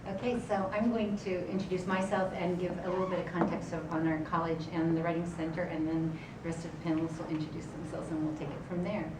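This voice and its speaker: Low flat-voiced woman presenting at a panel. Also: fast, hardly any pauses. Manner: relaxed, many ums and restarts. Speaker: writing center director, panel moderator. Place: conference room. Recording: echoey room, distant mic, background noise.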